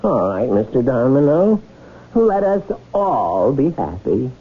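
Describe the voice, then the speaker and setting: An actor playing a genial, courtly inventor hero with theatrical, confiding delivery in a radio drama. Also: male voice, medium pitch, sing-song, slow, husky, exaggerated voice. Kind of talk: 1970s radio drama acting. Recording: muffled, phone-like 1970s radio recording.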